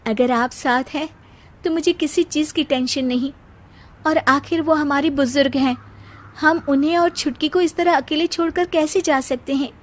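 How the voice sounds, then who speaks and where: A woman's voice: sits high, moderate pace, close to an everyday voice. A woman voicing a dutiful, long-suffering young daughter-in-law in a family cartoon, polite and earnest. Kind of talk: Hindi family cartoon dubbing.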